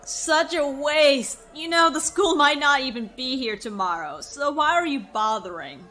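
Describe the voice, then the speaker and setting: A breezy young party girl, played by a woman in an audio drama. She is tipsy and slangy, drawling slowly in a high voice. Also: expressive, sharp tone, full character voice. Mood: tipsy, exasperated. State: drunk.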